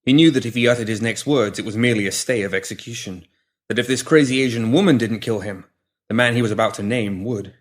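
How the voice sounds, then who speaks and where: A man's voice: lowish voice, quick pace. A man reading a scripted story as an audiobook narrator, in descriptive prose. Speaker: story reader.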